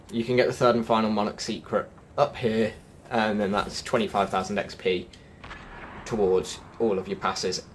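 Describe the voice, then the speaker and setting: Casual male gaming commentator, noisy recording. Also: lowish voice. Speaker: gaming video host.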